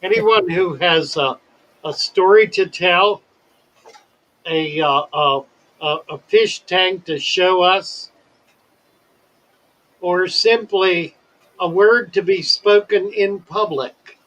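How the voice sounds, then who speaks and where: An older man hosts a relaxed live chat with a slightly high voice. He takes his time, choosing his words slowly and carefully, with a wordy, storytelling manner. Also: highs cut off. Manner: slow, wordy, deliberate storytelling. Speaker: livestream host. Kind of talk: casual chat.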